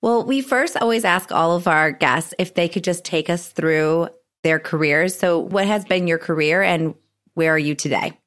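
A woman's voice: fairly low pitch, normal speed, a bit bright. A woman hosting a podcast, giving a friendly welcome and asking chatty questions. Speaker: podcast host.

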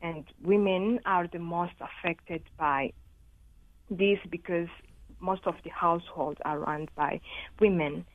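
A woman's voice: fairly low pitch, slow pace, dry recording. A young woman, answering interview questions earnestly, with many "uh" and "you know" fillers. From Rwanda. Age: 20s. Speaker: young entrepreneur guest. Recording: tinny phone line with faint noise.

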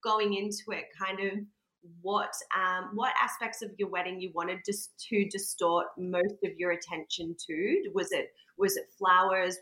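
A woman's voice: on the low side, sharp tone. A woman hosting a podcast interview, talkative and asking long run-on questions. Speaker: podcast host. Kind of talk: casual interview chat. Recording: roomy echoey sound.

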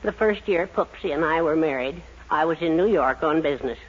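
Man playing henpecked American dad, middle-aged, husky, fairly high voice, woeful melodrama, 1940s radio sitcom. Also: fairly quick, close to an everyday voice. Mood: rueful storytelling. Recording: dull 1940s radio recording.

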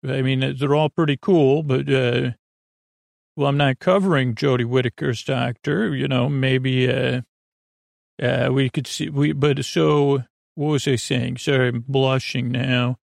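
A man hosting a bedtime podcast in a casual, meandering monologue, halting, trailing off and circling back, with a gravelly voice. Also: mid-range voice, steady tone, dry recording, clean studio sound. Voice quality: creaky, gravelly. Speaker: podcast host.

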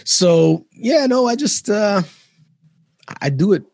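A man's voice: high voice, big pitch swings, slow pace, creaky, a little mellow, no room sound. A middle-aged man from Trinidad, a musician and chef, chatting casually in an interview, laid-back and rambling, laughing often.

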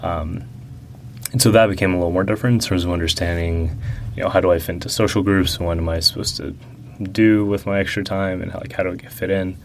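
This is a young man with a deep, low voice, answering an interviewer's questions in a relaxed, reflective way. He hesitates often, leans on fillers and restarts his sentences. A faint background hiss sits under the recording. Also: fast talker.

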